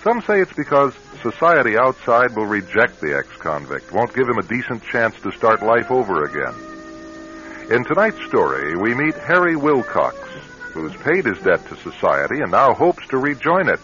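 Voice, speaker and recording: An American man narrating a radio drama in a measured, grave, dramatic style. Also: medium pitch, big pitch swings, lightly acted. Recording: muffled vintage radio drama recording. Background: dramatic music.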